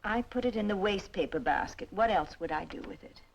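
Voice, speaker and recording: An actress playing a middle-aged, sharp-tongued, mocking aunt in a radio drama, haughty and articulate. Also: female voice, medium pitch, expressive, a little fast, creaky, poor audio. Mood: accusing, firm. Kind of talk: old-fashioned radio drama acting.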